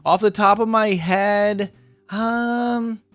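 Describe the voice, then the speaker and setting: A man's voice: high voice, steady tone, extremely slow, fairly bright tone, clean tone, no echo. A man hosting a reaction video, talking in a casual, unscripted, rambling conversational way. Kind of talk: casual, unscripted reaction commentary to camera or screen.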